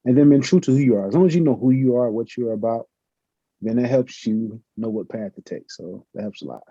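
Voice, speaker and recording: Middle-aged man, professor guest; fast, husky, very dark tone, muffled lo-fi sound. Earnest, reflective. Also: medium pitch, dry recording. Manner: earnest, reflective, uses many fillers. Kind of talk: conversational interview answers. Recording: muffled lo-fi audio.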